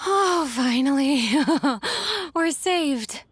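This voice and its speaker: A woman's voice: sits high, expressive, drawn-out words, a bit bright, slight background noise, full character voice. A woman voicing a weary, devoted young office worker in a video game, admiring and deferential. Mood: relieved. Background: faint music.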